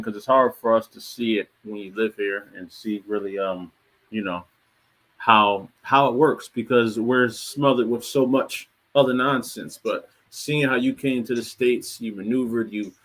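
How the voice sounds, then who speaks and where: A man's voice: on the low side, a bit bright. A man hosting a casual livestream, talking in a laid-back, slangy way and hyping up his guest. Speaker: livestream host.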